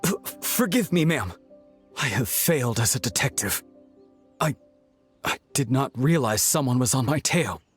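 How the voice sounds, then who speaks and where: An earnest subordinate detective in a video game. He speaks formally and deferentially in a medium-pitched voice with lively rises and falls. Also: unhurried, slightly rough, faint music behind. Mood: apologetic, flustered. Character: dutiful, deferential young male detective reporting to a superior. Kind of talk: video game character dialogue.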